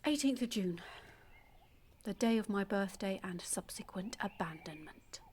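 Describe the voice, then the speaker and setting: A woman acting an intimate audio-play monologue as a calm, obsessive, secretly dangerous woman, confiding in a measured, often hushed and breathy way. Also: mid-range voice, slight background noise, natural voice. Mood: confiding, quietly intense. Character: calm, obsessive, delusional woman abandoned as a baby, secretly murderous. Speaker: actor in a monologue.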